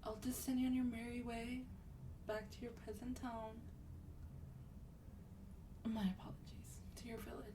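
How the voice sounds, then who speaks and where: A woman playing a sweet, wealthy vampire in a soft-spoken ASMR roleplay, breathy and often near a whisper, gently coaxing with a slightly haughty air. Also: on the high side, level tone, normal speed, long pauses, recorded from a distance, background noise, lightly acted.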